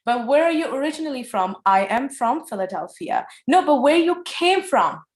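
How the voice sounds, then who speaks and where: A woman in her forties moderating a panel discussion, lively and acting out bits of dialogue. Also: high-pitched, moderate pace. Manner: lively, acts out dialogue in changing tones. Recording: echoey room sound.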